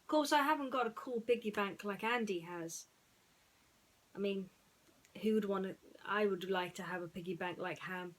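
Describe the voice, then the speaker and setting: A woman storyteller talking in a musing, unhurried way, with pauses, as in bedtime reading. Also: mid-range voice.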